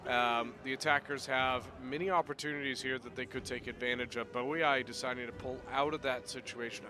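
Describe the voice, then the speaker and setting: A man casting a strategy game battle play-by-play in a chatty, excitable way, his voice a little off as he gets over being sick. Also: mid-range voice. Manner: chatty, excitable, bursts of loud exclamation. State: voice a little off from recent illness. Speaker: game commentator.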